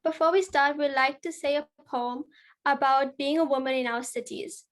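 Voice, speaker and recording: A young woman reciting a poem and reading a presentation, careful and deliberate, over a video call. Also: high-pitched, fairly even intonation. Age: young adult. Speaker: youth ambassador presenter.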